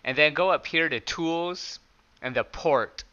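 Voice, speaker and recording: A man with a loud speaking voice, talking casually through his own programming project in a screen-recorded tutorial. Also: a little high, unhurried, clear, resonant tone, dull audio. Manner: plain, conversational explaining with small restarts.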